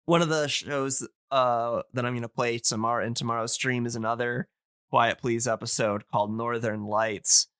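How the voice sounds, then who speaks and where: A man's voice: mid-range voice, steady tone, normal speed, fairly bright tone, smooth, no echo, clean studio sound. A man in a casual podcast chat, enthusiastic but hesitant as he searches for his words.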